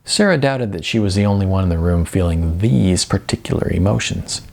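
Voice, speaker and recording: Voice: sits low, expressive, brisk, vocal fry, darkish tone. A narrator reading a novel aloud as scripted storytelling. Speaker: audiobook narrator.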